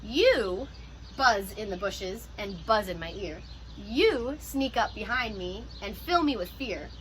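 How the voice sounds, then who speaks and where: A woman who teaches pre-K, reading a picture book aloud to young children in an animated, encouraging way with teacher asides. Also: medium pitch, slow, slight background noise, poor audio.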